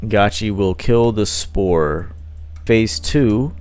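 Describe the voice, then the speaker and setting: Man, card game commentator, casual play-by-play, lots of fillers, low smooth voice, dry close sound, faint music behind. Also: extremely slow, darkish tone. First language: not Italian. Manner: casual, thinking aloud with fillers. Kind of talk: live match commentary.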